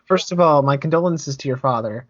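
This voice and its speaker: A man's voice: on the high side, fairly quick, lo-fi sound, a crowd in the background. Stammering, effusive man host. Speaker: interview host. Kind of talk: casual interview chat.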